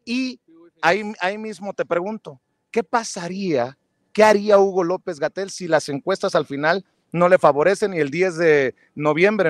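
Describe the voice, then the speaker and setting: A Mexican man in his forties hosts a live interview on a crowded street. He asks long, meandering questions full of fillers in a slightly high voice. The sound is close and dry. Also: no room sound. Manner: chatty, rambling questions with fillers. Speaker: interviewer, host. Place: busy city street outdoors with a crowd. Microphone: earbuds, handheld mic.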